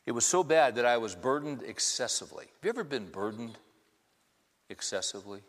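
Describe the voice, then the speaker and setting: A man's voice: mid-range voice, big pitch swings, a little fast. A man in his fifties preaching a sermon, talking conversationally and putting many questions to the congregation. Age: 50s. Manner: conversational, asks the crowd many rhetorical questions. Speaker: pastor. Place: church hall with congregation. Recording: long reverb of a large hall.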